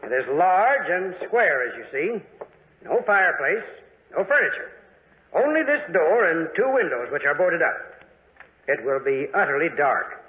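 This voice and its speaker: A formal small-town man in a 1940s radio drama. His voice sits fairly high and moves around a lot. The sound is narrow and tinny. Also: big pitch swings, measured pace. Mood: formal, solemn.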